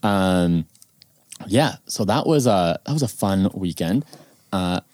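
Laid-back man with a lively voice. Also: sits low, slow, no echo, studio quality. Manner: laid-back, laughs easily. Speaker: podcast co-host. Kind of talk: casual chat.

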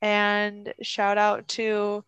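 A woman's voice: mid-range voice, monotone, drawn-out words, a bit bright, clean tone, no room sound. A young woman co-hosting a podcast, chatting casually, giggling and teasing, wandering off on tangents. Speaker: podcast co-host. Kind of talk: casual chat.

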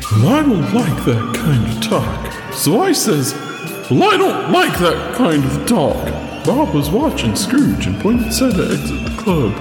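A man's voice: fairly high pitch, wildly swooping pitch, measured pace. A man playing a hard-drinking, hot-tempered snowman with folksy streetwise speech in a noir radio drama. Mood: defensive, brooding. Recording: roomy echo, music behind.

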